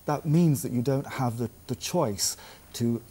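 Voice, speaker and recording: A British man with a mellow, slightly husky voice explains his points in a measured way. He restarts phrases with small stammers as he speaks in a casual panel chat. His tone stays calm and explanatory. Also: medium pitch. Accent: British English. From Britain. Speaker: English language trainer guest.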